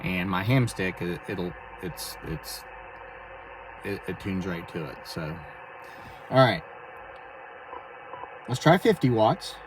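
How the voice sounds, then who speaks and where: Low-voiced man, distant and noisy recording. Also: expressive, takes it slowly, pauses often. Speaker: amateur radio operator and video host. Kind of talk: casual explanatory vlog talk. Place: inside a vehicle. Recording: distant mic, clear vehicle and radio noise.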